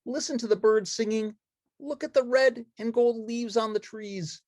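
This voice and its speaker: A woman voicing a cheerful, excitable young boy puppet in a children's storybook, exclaiming with wide-eyed wonder. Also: fairly high pitch, normal speed, dry recording, studio quality, pitched above the performer's own voice, lightly acted. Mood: bright wonder. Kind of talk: children's storybook read-along character voice.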